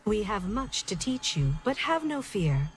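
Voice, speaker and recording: A woman's voice: mid-range voice, sing-song, unhurried, slight background noise. A woman voicing a serene celestial teacher in a video game, giving a ceremonial and reassuring speech. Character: serene, encouraging female celestial teacher addressing new aspirants.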